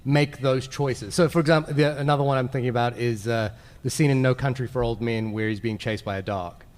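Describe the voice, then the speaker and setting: A man's voice: medium pitch, fairly even intonation, a little fast, darkish tone. A man talking casually and conversationally as a podcast host in a live panel discussion. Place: large indoor convention panel room. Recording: reverberant convention hall.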